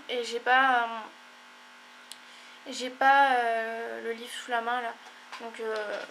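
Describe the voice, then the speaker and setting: Young female vlogger, congested and tired, meandering delivery, flat intonation. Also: a little high, a little hiss or hum, rough recording. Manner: rambling, many fillers and apologies. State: stuffy, congested. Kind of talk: casual chat to camera.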